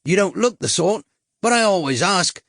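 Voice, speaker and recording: Male reader doing a brash tout, higher voice, oily salesman patter, dry sound. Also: expressive, moderate pace, creaky, fairly bright tone, dry recording, full character voice. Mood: wheedling. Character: sleazy male London street tout, pushy.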